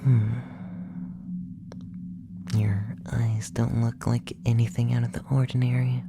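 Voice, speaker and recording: A man playing a curious, condescending alien abductor in an ASMR roleplay, murmuring softly and half-whispering close to the microphone. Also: mid-range voice, gravelly, background noise. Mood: puzzled, musing. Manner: slow, hushed, half-whispered murmuring with musing pauses. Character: soft-spoken alien abductor, curious, condescending, flustered young male.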